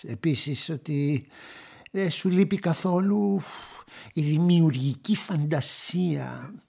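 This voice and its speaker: A patronizing writing tutor. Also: female voice, sits low, gravelly, mellow. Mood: lecturing. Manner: patronizing, lecturing. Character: condescending woman writing tutor.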